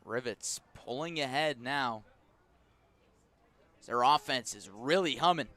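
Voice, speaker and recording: Male American baseball play-by-play announcer, mid-pitched voice, descriptive live radio delivery in clipped phrases. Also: lively intonation, slow, pushed and bright. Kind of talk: live radio sports commentary. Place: outdoor baseball stadium at night.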